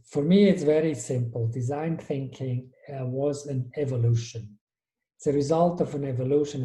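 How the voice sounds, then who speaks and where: A man speaks as an interview guest with a very dark, mellow tone. He thinks aloud and often restarts his sentences. The room around him gives a noticeable echo. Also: medium pitch. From Switzerland. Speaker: guest, innovation strategist. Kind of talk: conversational interview.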